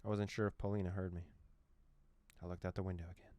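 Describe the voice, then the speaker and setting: A man reading a visual novel's text aloud as a streamer, with quick joking asides. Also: deep voice, level tone, a little mellow, no room sound. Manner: reads dialogue aloud, breaks off with joking asides and laughs. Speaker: gaming streamer. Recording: faint game music behind.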